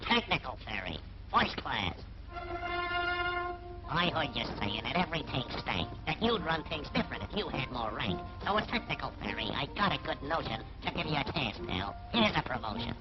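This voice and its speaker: An actor playing a gruff, wisecracking little fairy army sergeant with slangy, streetwise rhymes in a 1940s wartime cartoon. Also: male voice, mid-range voice, very animated, moderate pace, close to an everyday voice. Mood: wry, offhand. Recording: dull 1940s film soundtrack, loud music.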